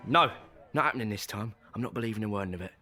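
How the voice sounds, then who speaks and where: A man playing a stern, fed-up middle-aged Victorian circus father in an audio drama, husky and bluntly scolding. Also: on the low side, fast talker, bright, forceful tone, faint music behind. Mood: angry, scolding.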